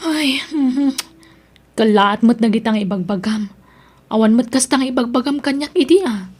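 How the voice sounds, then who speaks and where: A woman's voice: a little high, normal speed, a little mellow, slightly rough. A woman with an Ilocano accent acting a disapproving, moralizing friend in a radio drama. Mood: reproachful.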